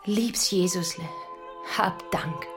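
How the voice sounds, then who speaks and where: An actress in a radio play voicing a pious nun music teacher with a hushed, devout manner. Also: female voice, on the low side, slow. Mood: grateful, delighted.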